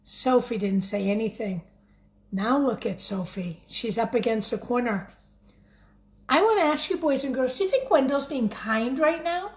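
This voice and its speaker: A woman teacher reading a picture book aloud expressively, pausing to put questions directly to young listeners. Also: fairly high pitch. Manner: expressive, addresses children directly.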